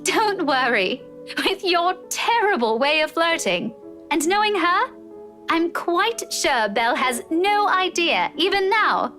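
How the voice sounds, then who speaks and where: A woman's voice: very high voice, sing-song, slow pace, bright, forceful tone, soft background music, heavily acted. An actress playing a candid, teasing, caring female friend in a visual novel game. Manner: frank, dryly teasing.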